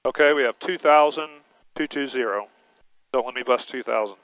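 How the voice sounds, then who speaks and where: A man's voice: medium pitch, normal speed, no room sound. A man working as a pilot in a small plane cockpit, making clipped radio calls and checklist callouts over a headset. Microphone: headset intercom. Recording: dull noisy cockpit headset audio.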